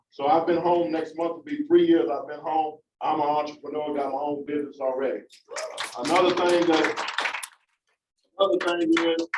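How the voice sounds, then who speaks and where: A man's voice: a little high, background noise. A man speaking emphatically at a community panel, with preacher-like repetition. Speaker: formerly incarcerated community speaker. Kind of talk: impassioned panel remarks. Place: large meeting room. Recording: roomy, distant, dull audience recording.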